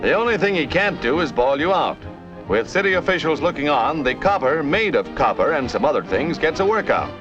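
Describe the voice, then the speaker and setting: A man's voice: a little high, sing-song, fairly quick. An American man narrating a 1950s newsreel in a jaunty, emphatic, punning announcer style. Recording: dull vintage newsreel soundtrack, loud music behind. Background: loud music.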